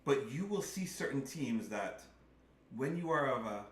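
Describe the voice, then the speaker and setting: A man's voice: medium pitch, animated, a little slow, fairly bright tone, recorded from a distance, slight background noise. A middle-aged man co-hosting a casual sports talk show, earnestly building his argument step by step. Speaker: podcast co-host. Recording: roomy sound, mic set back.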